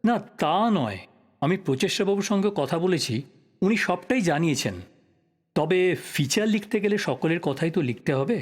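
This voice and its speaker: A man's voice: sits high, expressive, normal speed. A man playing a polite but persistent investigative journalist in an audio drama, asking courteous questions conversationally. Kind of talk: Bengali audio drama acting.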